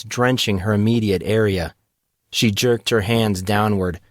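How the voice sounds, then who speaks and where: A man reads his fantasy serial in an unhurried, low voice, taking each line slowly. The sound is dry and close, with no room around it, like a small home studio. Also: no room sound. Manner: steady, measured storytelling. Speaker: author and narrator. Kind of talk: scripted fantasy audiobook narration.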